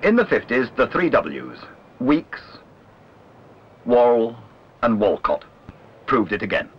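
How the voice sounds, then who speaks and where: A man reading a literary documentary narration in a measured, wry way. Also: medium pitch, sing-song. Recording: dull lo-fi old film soundtrack, clear noise.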